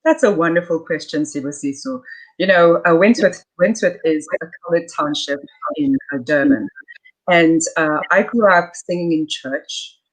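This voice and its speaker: A middle-aged South African woman, a soprano, talking warmly as a guest in a conversational interview over a video call, with many fillers. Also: on the low side, normal speed, rough recording. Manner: warm, gracious, many fillers.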